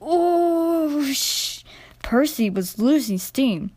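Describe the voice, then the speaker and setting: A woman narrating a scripted children's storybook in an expressive reading style. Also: mid-range voice, unhurried, no echo.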